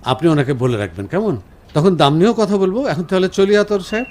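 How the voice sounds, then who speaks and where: A man's voice: sits high, expressive, brisk, darkish tone. A male actor playing a considerate, practical husband in a radio drama. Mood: polite, practical. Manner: courteous, practical. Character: considerate, businesslike husband, polite and caring. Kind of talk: Bengali radio drama acting.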